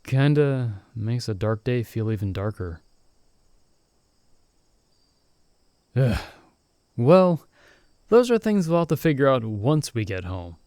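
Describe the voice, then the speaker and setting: A man's voice: medium pitch, sing-song, measured pace, darkish tone, clear, resonant tone, dry recording. A man playing a cheerful, caring young male mage in a casual, reassuring ASMR fantasy roleplay, talking as if to a close friend. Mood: candid, wistful, then determined. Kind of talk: ASMR roleplay, intimate one-sided conversation.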